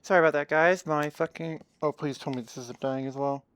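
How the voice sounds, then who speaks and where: A man's voice: fairly high pitch, fairly even intonation, fairly quick, fairly bright tone, clean tone, no echo. A man streaming in virtual reality, chatting offhand and joking at his own expense on a headset mic. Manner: offhand, self-deprecating, joking. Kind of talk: casual livestream chat. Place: home, playing in virtual reality.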